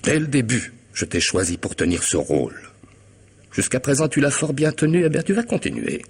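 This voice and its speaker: An actor playing a vengeful, mocking killer in a French radio drama, theatrical and menacing, moving between hush and outburst. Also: male voice, mid-range voice, big pitch swings, normal speed, exaggerated voice. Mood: mocking, commanding. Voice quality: husky, gravelly voice. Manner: theatrical menace, swinging from hushed to loud.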